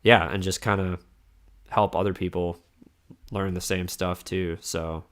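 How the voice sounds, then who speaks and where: A man's voice: low-pitched, flat delivery, measured pace. A man hosting a casual streamed podcast, rambling with lots of restarts and fillers as he wraps up with his guest. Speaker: podcast host. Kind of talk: casual chat.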